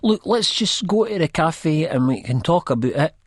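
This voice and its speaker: An actor playing a rambling, good-hearted, drug-addled man from Leith with a husky Edinburgh accent, telling his story in an audiobook. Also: a little high, animated, moderate pace, no room sound, studio quality. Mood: excited, pleading. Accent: Edinburgh Scottish. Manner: colloquial, rambling, peppered with "man". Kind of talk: first-person audiobook narration, acted in character.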